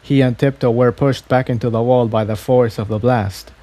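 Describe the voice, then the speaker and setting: Male story reader with a mellow, relaxed tone, reading scripted prose with small stumbles. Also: medium pitch, normal speed. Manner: stumbles and repeats phrases while reading. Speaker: fan fiction reader.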